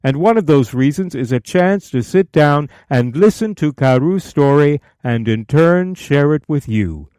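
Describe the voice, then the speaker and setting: A man hosting a podcast, reading a scripted introduction in a measured way. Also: fairly high pitch, lively intonation, mellow, clean tone, dry recording, studio quality. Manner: measured, read-aloud delivery. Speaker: podcast host.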